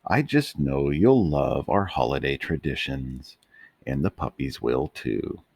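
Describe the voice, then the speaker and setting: A man voicing a gentle, loving female dog in a bedtime storybook reading, lifting his very low voice higher for her. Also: animated, takes it slowly, vocal fry, husky, dry recording, clean studio sound, exaggerated voice. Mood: tender.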